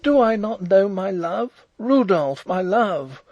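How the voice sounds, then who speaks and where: Young princess, played by a male narrator: lifted pitch, proud, ardent, formal storybook delivery, very animated. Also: a little slow, gravelly, husky, dry recording, muffled recording, full character voice. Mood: impassioned, pleading. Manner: formal, impassioned. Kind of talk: audiobook character voice.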